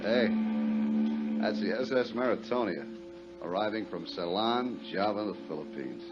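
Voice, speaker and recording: An American actor playing a world-weary, skeptical harbor police inspector in a 1950s radio drama, musing and emphatic in turn. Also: male voice, lowish voice, animated, moderate pace, over loud music, natural voice. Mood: wistful, weary. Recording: muffled, noisy 1950s radio recording.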